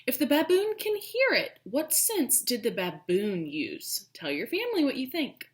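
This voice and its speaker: A woman teacher reads a picture book to small children. Her pitch rises and falls a great deal and her pace is unhurried. She is emphatic and often thinks aloud between phrases. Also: wildly swooping pitch. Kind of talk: children's storybook read-aloud with questions.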